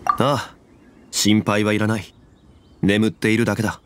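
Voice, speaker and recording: An actor playing a calm, dignified immortal former god in a fantasy game, speaking in a composed and formal way. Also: male voice, on the low side, normal speed, background noise, natural voice. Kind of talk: Japanese game voice acting, dramatic dialogue.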